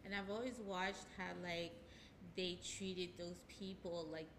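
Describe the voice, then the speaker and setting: A woman's voice: lowish voice, steady tone, slow. A woman from Haiti speaking earnestly about spiritual topics in a casual radio chat, laughing easily. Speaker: hypnotherapist guest. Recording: background noise.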